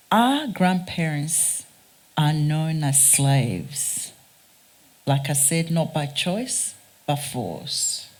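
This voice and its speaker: A woman from Ghana with a Ghanaian accent, giving a prepared public talk in an earnest, deliberate, emphatic manner. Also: low voice, darkish tone. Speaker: guest speaker, race scholar. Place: speaking live at a theatre event.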